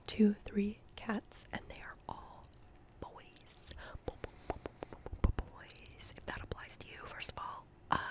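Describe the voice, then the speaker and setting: This is a woman hosting an ASMR guessing game. She speaks almost entirely in a breathy whisper with hardly any voicing. She often repeats words and breaks syllables into little stuttered echoes for effect. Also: medium pitch. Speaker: ASMR host.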